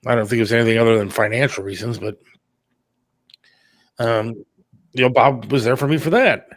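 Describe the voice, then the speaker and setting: A man's voice: mid-range voice, a little fast, dry recording. A middle-aged man talking candidly and casually on a talk show, plain-spoken and a little defensive. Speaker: radio producer and talk show host.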